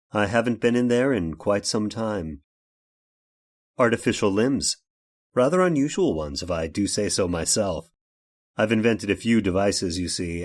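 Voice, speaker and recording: An actor playing a courteous, ailing inventor of artificial limbs in a radio horror drama, speaking in a halting, rambling, genteel way. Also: male voice, fairly low pitch. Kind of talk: old time radio horror drama acting.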